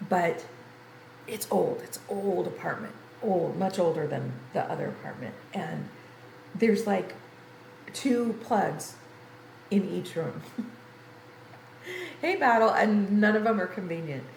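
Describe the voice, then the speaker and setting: Low-pitched older woman, congested, tired, rambling casual livestream chat, echoey room, faint noise. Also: takes it slowly, creaky, pauses often, darkish tone. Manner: rambling, conversational. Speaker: game livestreamer. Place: room in an apartment. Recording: roomy echo, faint background noise.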